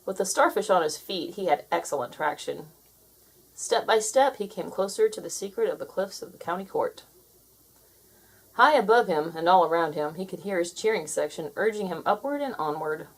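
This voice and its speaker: Husky, animated woman storyteller. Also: lowish voice, moderate pace, rough recording. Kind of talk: expressive children's read-aloud narration.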